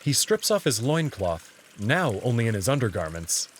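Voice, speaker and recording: A man reads first-person story narration for a visual novel. His mid-pitched voice moves expressively, and there is a faint sound of running water behind it. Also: very animated, normal speed, a little hiss or hum.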